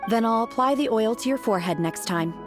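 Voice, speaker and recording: A woman voicing an aloof but curious young woman in a video game, formal and deadpan. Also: on the high side, a little fast, music in the background, natural voice. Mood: detached, curious.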